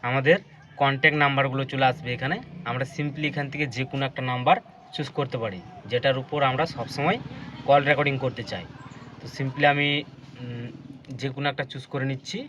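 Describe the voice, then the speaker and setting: A man's voice: medium pitch, a bit bright. A man presenting an unscripted step-by-step phone tutorial, explaining things repetitively and addressing viewers directly. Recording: dull lo-fi audio with faint background noise.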